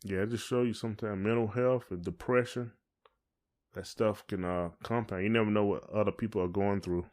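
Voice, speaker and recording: Man, American accent, lower-pitched voice, casual podcast chat, colloquial phrasing. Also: steady tone, fairly quick, darkish tone. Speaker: podcast co-host.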